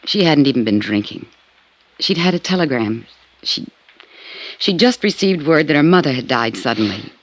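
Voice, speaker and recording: An actress playing an anxious, evasive doctor's wife under questioning in a 1950s radio crime drama, with a hesitant, defensive delivery. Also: female voice, low-pitched, animated, moderate pace, long pauses. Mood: subdued, confessing. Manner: hesitant, faltering under pressure. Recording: vintage 1950s radio recording.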